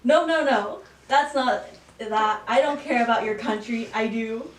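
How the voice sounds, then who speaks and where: A woman's voice: a little high, measured pace, close to an everyday voice. A woman playing a self-serving, pushy male president in an amateur satire. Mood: indignant. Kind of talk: amateur student satire acting. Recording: roomy, distant mic, background noise.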